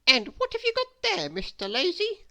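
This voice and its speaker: A woman voicing a clever, prim little lady in a storybook, speaking high and precisely. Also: wildly swooping pitch, moderate pace, pushed and bright, exaggerated voice. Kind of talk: storybook character voice.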